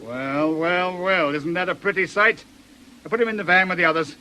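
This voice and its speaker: A brisk British policeman gives orders in a high, piercing voice over faint noise. He is forceful and sardonic, in radio drama style.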